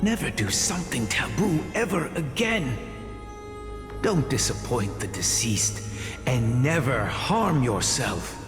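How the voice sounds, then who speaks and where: A man's voice: mid-range voice, sing-song, takes it slowly. An actor playing a stern but caring male teacher in a game, earnest and lecturing, stumbling over words when alarmed. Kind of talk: game voice acting. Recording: roomy echo with music behind.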